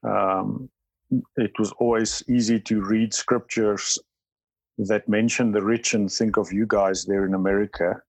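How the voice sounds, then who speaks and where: A man's voice: on the low side, little pitch movement, a little slow, vocal fry, slightly rough, no echo, low-quality recording. A South African man whose first language is Afrikaans, speaking as a church leader in an interview, thoughtful, talking in bursts with many fillers and restarts. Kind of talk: interview conversation.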